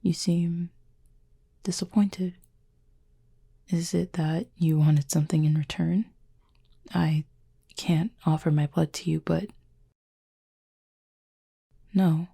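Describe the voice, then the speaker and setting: A woman playing an injured fallen cupid in an intimate roleplay audio, soft and breathy, with a formal, measured, dryly aloof but curious manner. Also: low voice, exaggerated voice. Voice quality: soft, breathy, husky with fry. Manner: measured, formal, wordy phrasing.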